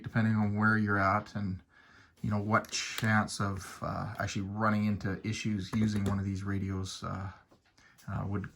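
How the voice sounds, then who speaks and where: A Canadian man giving a casual, unscripted product explainer as a channel host, with halting, rambling, hedged explanations. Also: lowish voice, fairly bright tone.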